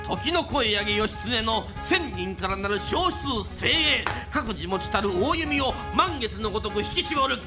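A man's voice: sits high, expressive, a little slow, hardly any pauses. A man narrating a radio drama as a traditional Japanese kodan storyteller, declamatory, theatrical and rhythmic. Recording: music under the voice.

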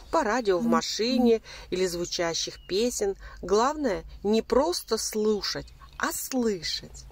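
Woman narrating over clear background noise. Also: mid-range voice. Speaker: narrator giving spiritual self-help advice.

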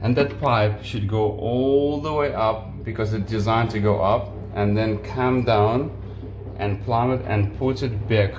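Slow-talking man in an echoey laundry. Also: on the low side, darkish tone. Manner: unhurried, halting, with frequent restarts and fillers. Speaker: home appliance video maker. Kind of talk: casual how-to demonstration. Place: small laundry room indoors. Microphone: phone camera on selfie stick at arm's length. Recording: echoey room with washing machine noise.

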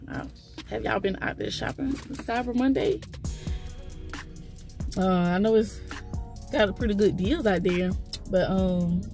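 A woman's voice: fairly low pitch, a little mellow. A woman, chatting to her phone camera from a parked car. She sounds congested and rambles, with lots of fillers and restarts. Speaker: vlogger. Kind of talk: casual vlog chat to camera. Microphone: phone camera held close.

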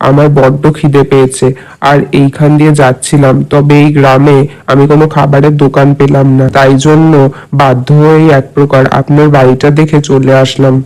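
A man's voice: medium pitch, little pitch movement, a little fast, a little mellow, lightly acted, low-quality recording. A man playing a courteous, generous traveller in a cartoon moral tale, speaking politely. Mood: polite, hungry. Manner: polite, appreciative. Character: courteous, generous male traveller, village guest.

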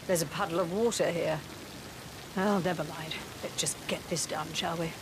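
An actress playing an impatient, older aristocratic matriarch, curt and commanding. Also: female voice, low voice, steady tone, normal speed, vocal fry, noisy background. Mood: stern, impatient.

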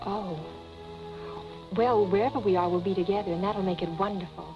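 Actress as a coy 1940s film temptress, muffled soundtrack. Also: female voice, medium pitch, steady tone, fairly quick, music in the background, close to an everyday voice. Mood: coy, pleading. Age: young adult. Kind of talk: 1940s film melodrama acting.

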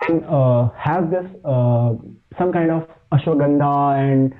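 A man from India with an Indian accent, Hindi his first language, talking as a podcast guest in a halting way with many fillers and restarts. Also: on the high side. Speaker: podcast guest, fitness YouTuber. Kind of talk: casual chat. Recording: tinny phone-like line.

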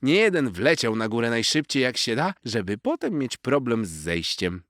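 A man's voice: medium pitch, sing-song, moderate pace, fairly bright tone, dry recording, studio quality, pitched above the performer's own voice. A man voicing a small know-it-all female squirrel from a children's story, teasing and scolding with lots of emphasis. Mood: mocking, warning.

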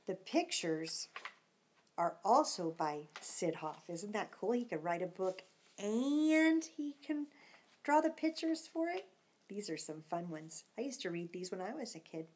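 A woman's voice: medium pitch, sing-song, measured pace, a little mellow, husky. A middle-aged woman reading a storybook expressively to children, with simple chatty asides and questions. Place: living room at home.

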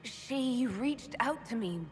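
A woman's voice: a little high, animated, takes it slowly, a little mellow, long reverb tail, faint music behind. An actress playing a bewildered, earnest young elf woman in a fantasy game, speaking hesitantly. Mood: confused, uneasy. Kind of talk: dramatic fantasy game acting.

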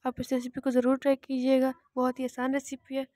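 A woman cooking host talks through a recipe in a quick, high voice that hardly moves in pitch. Her tone is soft and mellow, and the recording is dry and close, with no room around it. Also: monotone, dry recording. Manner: plain, repetitive instructional narration. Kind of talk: step-by-step recipe voice-over.

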